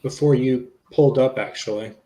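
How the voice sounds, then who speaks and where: Guy reading as a federal agent questioning a witness, mid-pitched, low-key and matter of fact. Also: normal speed, mellow, natural voice. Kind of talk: dramatic reading of an interview transcript.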